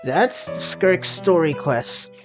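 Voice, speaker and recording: Gaming creator, low-pitched for a woman or mid for a man, casual commentary, slow and halting, music behind. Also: expressive. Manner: halting, rambling delivery. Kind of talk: casual let's play commentary.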